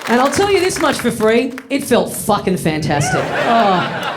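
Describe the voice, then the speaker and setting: A man's voice: very high voice, big pitch swings, a little slow, over a noisy crowd, heavy background noise. A man in his thirties with an Australian accent, telling a self-mocking stand-up story to a laughing live audience. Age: 30s. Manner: wry, self-mocking, acts out voices. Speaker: stand-up comedian. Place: comedy studio with live audience.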